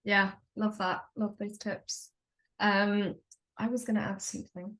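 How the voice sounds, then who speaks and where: A woman's voice: medium pitch, little pitch movement, a little slow, fairly bright tone, rough recording. A woman hosting a casual video-call interview, hesitant and searching for her words. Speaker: podcast host.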